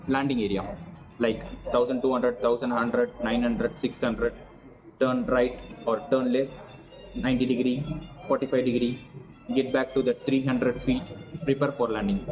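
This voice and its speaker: A man's voice: mid-range voice, fairly even intonation, moderate pace, music in the background, poor audio. A man vlogging a casual debrief, earnestly walking through what he did step by step. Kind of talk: casual personal debrief to camera.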